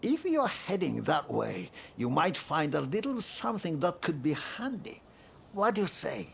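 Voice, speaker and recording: An actor playing a humble, grateful village man in a video game, speaking in an earnest, deferential and measured way. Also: on the high side, sing-song, vocal fry, a little hiss or hum, exaggerated voice. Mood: earnest, helpful. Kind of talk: video game cutscene acting.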